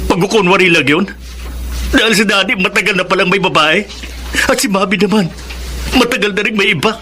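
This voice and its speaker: A male actor playing a distraught, ashamed teenage boy in a Filipino radio drama, plaintive and halting. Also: high voice, sharp tone, a little hiss or hum.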